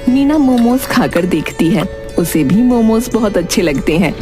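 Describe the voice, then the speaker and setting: A woman's voice: mid-range voice, lively intonation, moderate pace. Woman narrating a story over music. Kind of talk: scripted cartoon story narration. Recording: music behind the voice.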